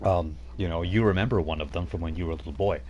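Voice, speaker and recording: A man's voice: deep voice, quick pace, darkish tone, highs cut off, slight background noise. A man running a tabletop role-playing game over voice chat, narrating loosely with many fillers and restarts. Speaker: game master.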